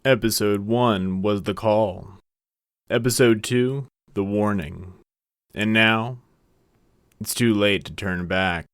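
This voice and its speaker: A man's voice: on the low side, slow pace, clear, resonant tone, no room sound, clean studio sound. An actor playing an ominous male war-radio announcer, giving a portentous scripted episode introduction. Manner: portentous, dramatic.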